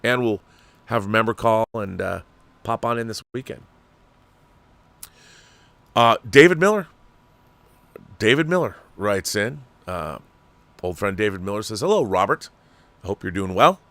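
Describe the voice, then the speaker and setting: A man's voice: fairly low pitch, lively intonation, a little slow, gravelly, pauses often, fairly bright tone. A middle-aged American man hosting a casual fan livestream, reading viewer messages aloud and chatting with opinionated asides.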